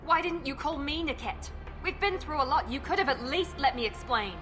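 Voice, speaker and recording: A woman's voice: sits high, expressive, a little fast. A woman voicing a guarded, determined young operative hurt by betrayal, in video game drama. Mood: defensive, hurt. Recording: dramatic game music behind.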